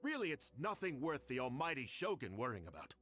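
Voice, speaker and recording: A man's voice: mid-range voice, huge pitch swings, fairly quick, a bit bright. A man playing a scheming, obsequious clan nobleman in a video game, with a blustering, wheedling delivery. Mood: nervous, fawning. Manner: wheedling, blustering, stammering under pressure. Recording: music behind the voice.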